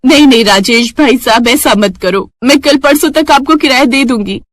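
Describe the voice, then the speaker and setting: A woman's voice: high-pitched, normal speed, dry recording, voice pushed up. A woman voicing a poor young mother who works as a maid, meek and pleading, in a cartoon moral story. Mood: nervous pleading.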